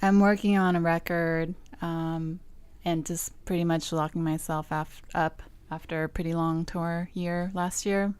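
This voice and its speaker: A woman's voice: fairly low pitch, fairly even intonation, a little slow, a little mellow, clean tone. A young woman from Southern California, chatting casually in an interview, with lots of likes and ums. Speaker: guest musician.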